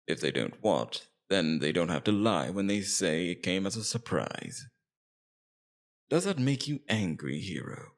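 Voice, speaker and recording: Man voicing a deep, gravelly, smug Demon King. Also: heavily acted. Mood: taunting, persuasive. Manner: theatrical, taunting, drawn-out deliberate emphasis. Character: scheming immortal Demon King, smug, persuasive, coldly calculating villain. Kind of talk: ASMR roleplay, scripted villain monologue.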